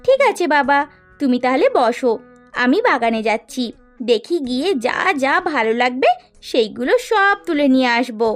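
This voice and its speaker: Very high-pitched sweet young farm girl. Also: lively intonation, normal speed, soft background music. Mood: cheerful, sweet. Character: sweet, devoted young farmer's daughter, pleading when cursed. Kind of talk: animated fairy tale acting.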